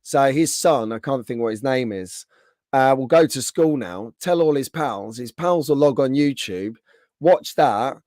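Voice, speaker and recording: A man hosting a casual live stream, greeting viewers by name, his voice slightly hoarse from being under the weather. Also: mid-range voice, lively intonation, measured pace, darkish tone, no echo, studio quality.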